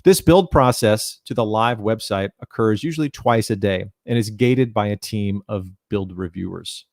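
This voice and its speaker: A man in his thirties giving an explanatory technical presentation in a measured, instructional way, speaking into a headset. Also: on the low side, darkish tone, no echo, clean studio sound. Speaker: presenter, documentation content developer. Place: small indoor room, home video call.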